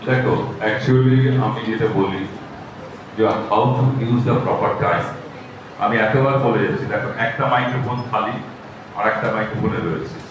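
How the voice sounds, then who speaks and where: A man's voice: medium pitch, far from the mic. A man teaching a live workshop, instructive and insistent, often repeating himself. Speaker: recitation workshop trainer. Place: large echoey room at a live workshop. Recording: echoey noisy hall, picked up from afar.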